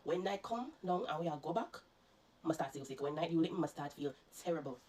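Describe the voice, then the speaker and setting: A woman's voice: low-pitched, lively intonation, a little fast, dark tone. A Jamaican woman vlogger, congested and coughing, earnestly recounting her illness to her viewers and warning them. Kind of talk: personal storytelling to viewers.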